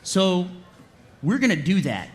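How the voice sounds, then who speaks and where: Exasperated, high-pitched bossy soldier. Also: male voice, very animated, a little slow, slight background noise. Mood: scheming. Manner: argumentative, exasperated, emphatic. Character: exasperated, bossy male soldier leading a squad. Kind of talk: animated comedy table read.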